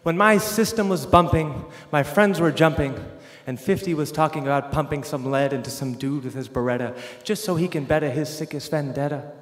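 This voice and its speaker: A man's voice: fairly high pitch, a little fast. A man performing spoken word poetry with a punchy, rhythmic delivery. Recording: echoey hall with long reverb.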